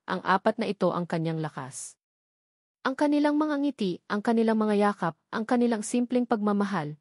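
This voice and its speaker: A woman narrates a sentimental romance from a script. Her voice sits at an ordinary middle pitch, and the recording is very dry, with little room sound around it. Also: a little mellow, dry recording. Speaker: story narrator.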